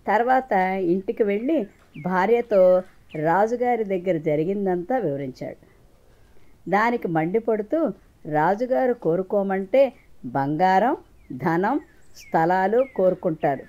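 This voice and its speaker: A female storyteller narrates a children's moral tale from a prepared script. Her voice sits at an average pitch for a woman, and she delivers the story as scripted narration. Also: darkish tone.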